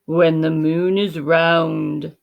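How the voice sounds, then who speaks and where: A woman's voice: sits low, flat delivery, creaky, dull audio, exaggerated voice. A woman voicing a mysterious magic lizard in a storybook, solemn and incantatory. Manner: slow, deliberate, incantatory.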